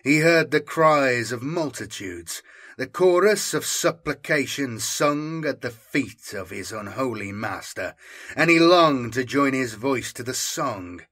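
A male narrator reads dark science fiction with ominous, theatrical weight. His voice is raspy and intense, and he takes his time over the phrases. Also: medium pitch, animated, slow. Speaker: audiobook narrator.

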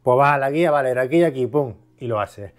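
A man's voice: medium pitch, quick pace. A man from Spain giving a casual, conversational product review, explaining things by asking and answering his own questions. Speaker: video host, product reviewer.

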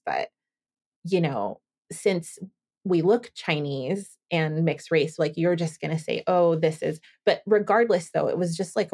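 Creaky-voiced woman podcast host. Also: fairly low pitch, darkish tone. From Southern California. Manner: rambling, thinks aloud with many fillers. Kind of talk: casual interview chat.

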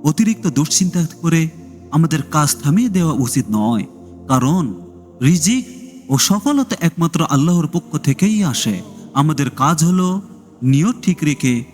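Lively high-voiced male storyteller in long reverb. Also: measured pace. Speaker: storyteller and narrator. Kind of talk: scripted narration of an Islamic moral tale. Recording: long reverb, music behind.

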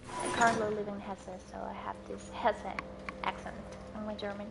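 A woman's voice: mid-range voice, level tone, normal speed, gravelly. A woman streaming a game and chatting casually with her viewers between moments of play. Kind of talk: casual chat while playing. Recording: loud game noise behind voice. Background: game sounds.

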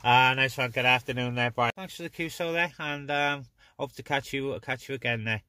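A man's voice: mid-range voice, moderate pace, pushed and bright, clean tone, dry recording. A man operating a CB radio in casual on-air chat, with informal, chummy sign-offs. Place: inside a parked car on open moorland. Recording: noisy in-car recording with clicks.

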